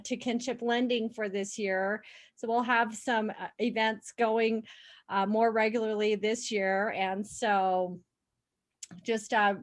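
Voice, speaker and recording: A woman program director welcoming everyone and introducing a guest, speaking haltingly with many fillers. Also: a little high.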